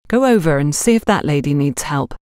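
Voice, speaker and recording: A woman voicing a brisk, disapproving female shop worker in an audiobook, curt and bossy. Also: low voice, expressive, darkish tone, clear, resonant tone, no room sound, studio quality.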